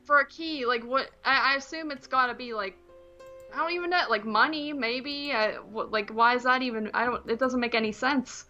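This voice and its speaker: A woman's voice: high-pitched, normal speed, bright, forceful tone, dull audio, faint music behind. A woman chatting casually as a podcast co-host, rambling informally about films. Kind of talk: casual chat reviewing films.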